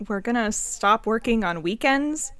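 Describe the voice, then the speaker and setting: Casting: actress for hungry, irritable young woman in naturalistic audio play, sarcastic, forceful bright tone, close dry sound. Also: fairly high pitch, lively intonation, a little slow, dry recording. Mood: weary. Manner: sarcastic, snappy. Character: hungry, impatient, overworked young woman at home.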